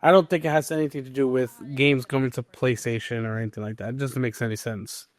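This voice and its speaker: A man's voice: medium pitch, fairly even intonation, quick pace, no room sound. A man hosting a podcast and steering the topics in casual gaming news chat.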